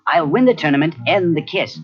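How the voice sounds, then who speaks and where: A daring, friendly young outlaw hero played by a man speaks with confidence and a very animated, mid-pitched voice. The storybook cassette sounds slightly dull, with faint music. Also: brisk, natural voice. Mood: confident. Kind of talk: children's cartoon storybook acting.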